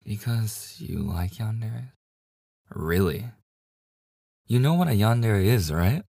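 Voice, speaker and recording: A man's voice: fairly low pitch, expressive, slow pace, creaky, warm tone, husky, dry recording, studio quality, heavily acted. A man playing a devoted, slightly obsessive boyfriend in an intimate ASMR audio roleplay, with a hesitant, stammering manner. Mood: incredulous. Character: devoted, nervously joking boyfriend hiding obsessive yandere streak. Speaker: voice actor.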